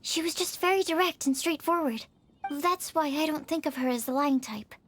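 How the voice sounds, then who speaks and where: A woman playing a sweet, earnest young alchemist's assistant in a video game, explaining things politely and gently. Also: sits high, moderate pace. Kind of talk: video game character acting. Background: background music.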